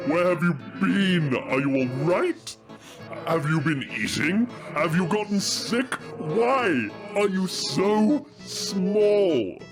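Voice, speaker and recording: A man's voice: high voice, lively intonation, slow pace, over music, voice pushed up, heavily acted. A man voicing a regal dragon king in an audio drama, stern in command and a doting, fretful father underneath. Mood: gushing, worried. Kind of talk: audio roleplay drama acting.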